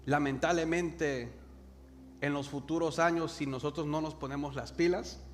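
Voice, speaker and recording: A man's voice: fairly high pitch, fairly even intonation, soft background music. A young man from El Salvador with a Salvadoran Spanish accent, preaching a sermon and calling the congregation to repeat words after him. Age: young adult. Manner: calls the congregation to repeat words, slows for emphasis. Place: church hall. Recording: reverberant hall with faint noise.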